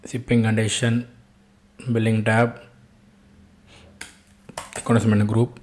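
A man with an Indian accent giving an off-the-cuff software tutorial as an instructor, repeating himself and posing short questions that he then answers. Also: fairly low pitch, monotone, measured pace, a little hiss or hum. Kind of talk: step-by-step screen-share tutorial, spoken off the cuff.

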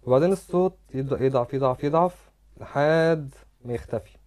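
A man's voice: mid-range voice, brisk, a little mellow. A man with an Egyptian Arabic accent giving a medical lecture over slides, explaining step by step and repeating words as he demonstrates.

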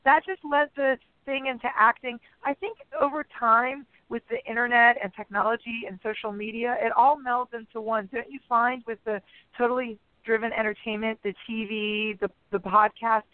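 A woman chats at length as an interview guest, rambling with plenty of "like" and "you know". Her voice has a slight rasp today. The sound is dry and squeezed thin like a phone call. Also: a little high, steady tone, normal speed, dry recording. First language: English. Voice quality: a bit raspy today. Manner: chatty, rambling with many fillers. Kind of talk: casual interview chat. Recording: phone-line radio sound.

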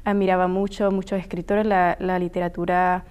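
A woman's voice: fairly low pitch, flat delivery, moderate pace, darkish tone, smooth. Earnest woman author. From Puerto Rico. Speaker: guest author. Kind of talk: TV studio interview. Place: small TV studio. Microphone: lapel mic.

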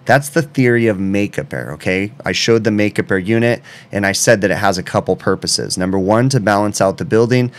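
Very deep-voiced man explaining a trade. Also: brisk, few pauses. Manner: explains step by step, often checks in with "okay?". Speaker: HVAC technician explaining a repair. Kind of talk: instructional explanation.

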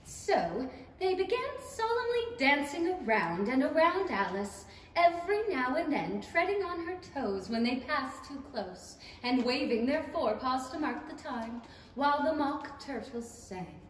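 A woman's voice: high voice, sing-song, measured pace, pauses often. A young woman narrating a 1930s-style storybook radio play from a script. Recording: echoey theatre stage, distant mic, hiss.

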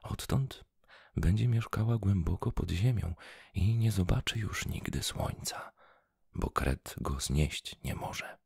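Guy whispering a bedtime story, husky gravelly voice, dry close sound. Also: low voice, expressive, no room sound. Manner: soft slow whisper, gravelly. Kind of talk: whispered fairy-tale reading.

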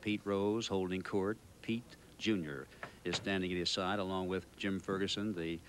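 Older male reporter, very deep voice, handheld mic, measured live report with a faint hiss. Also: steady tone. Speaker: field reporter. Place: crowded stadium clubhouse. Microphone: handheld microphone.

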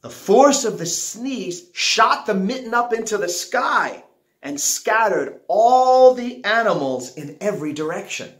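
Expressive middle-aged man, slow storybook reading. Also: high-pitched. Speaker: storyteller reading a picture book aloud. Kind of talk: children's storybook read-aloud. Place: small living room at home, indoors. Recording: roomy, echoey home recording.

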